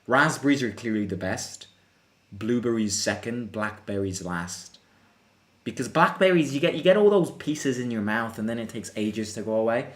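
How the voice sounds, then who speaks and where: A young man from Italy with a voice of ordinary, middling pitch hosts a live football stream for fellow fans. He talks in a loose, chatty way, reads out viewers' questions and jumps into excited calls when something happens on the pitch. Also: normal speed. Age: young adult. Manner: chatty, answering chat questions between bursts of excited play reactions. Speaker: fan channel host. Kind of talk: casual live football watchalong chat.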